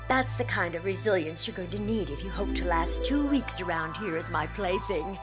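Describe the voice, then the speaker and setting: A woman's voice: lowish voice, expressive, moderate pace, natural voice. A woman in an English anime dub playing a teasing, domineering adult teacher with a playfully possessive manner. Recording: music behind.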